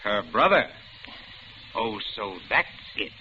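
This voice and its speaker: An actor playing a suspicious, hot-tempered law partner who bullies and accuses, in the style of 1950s radio drama. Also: male voice, fairly low pitch, lively intonation, takes it slowly. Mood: suspicious, angry. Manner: accusing, hectoring. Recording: muffled vintage radio broadcast, faint noise.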